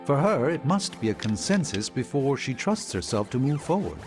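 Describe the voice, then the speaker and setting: A man playing a grave, observant warrior ally in a video game, speaking formally and deliberately. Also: mid-range voice, very animated, natural voice. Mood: serious. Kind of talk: video game cutscene dialogue. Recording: music behind the voice.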